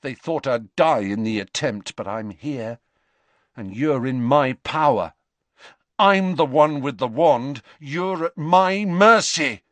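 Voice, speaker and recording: A man's voice: fairly low pitch, lively intonation, a little slow, hardly any pauses, no room sound. A man voicing a frightened but defiant teenage boy wizard in a storybook reading. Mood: tense defiant.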